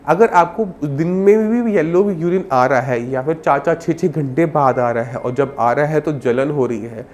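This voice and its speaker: A man's voice: on the high side, normal speed, hardly any pauses, a little mellow. A man with a sore throat and cold, explaining health advice to viewers as a doctor in a direct, instructive way. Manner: instructive, addresses viewer directly with simple examples.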